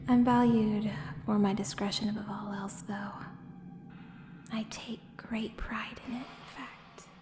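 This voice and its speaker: Slow, breathy female contract killer, acted by a woman. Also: mid-range voice, level tone, natural voice. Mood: silky menace. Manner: slow, deliberate, hushed and breathy, with pointed pauses. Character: menacing, coolly seductive female contract killer. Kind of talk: ASMR audio roleplay monologue. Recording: roomy long reverb, clear background noise.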